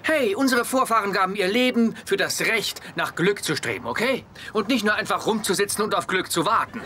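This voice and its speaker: Einen großspurigen jungen Kerl. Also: male voice, high-pitched, brisk, noisy background. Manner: pushy, showy, lively. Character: brash, boastful young man friend. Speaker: dubbed sitcom character. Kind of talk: German sitcom dub.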